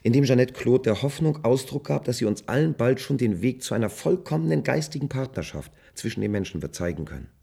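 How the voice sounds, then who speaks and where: An actor playing an earnest, uneasy scientist in a radio play, explaining formally and haltingly with a gravelly, husky voice. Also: male voice, medium pitch, expressive, mellow. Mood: solemn, measured. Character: earnest, uneasy male scientist-inventor doctor.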